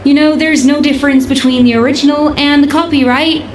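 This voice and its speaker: A woman acts a reserved, proud chef from a video game. Her voice is high and slightly flustered. It echoes, with faint noise around it. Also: fairly even intonation, fairly quick, natural voice. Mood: puzzled, guarded.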